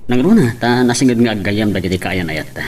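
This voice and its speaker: A man's voice: on the low side, animated, fairly quick, a little mellow, lightly acted. Actor as friendly young Filipino man, noisy recording. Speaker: radio drama actor. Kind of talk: Ilocano radio romance drama acting.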